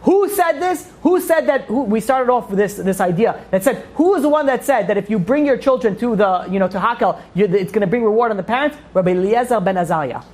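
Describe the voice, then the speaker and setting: A woman's voice: a little high, lively intonation, fast talker, hardly any pauses, darkish tone. A woman giving an informal religious lecture to a group, emphatically asking questions and answering them herself. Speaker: religious class teacher.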